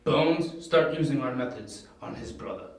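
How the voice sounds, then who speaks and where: A man playing a gruff, dim, menacing gang boss in an amateur audio drama, giving terse orders. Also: medium pitch, normal speed, darkish tone, close to an everyday voice. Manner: terse, commanding. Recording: very echoey, distant from mic.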